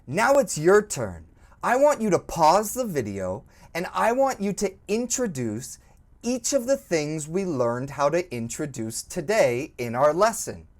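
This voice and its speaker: A woman teaching an English lesson, speaking slowly and clearly, encouraging and prompting the learner to repeat. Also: low voice, sing-song. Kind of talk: slow, clearly spoken language lesson.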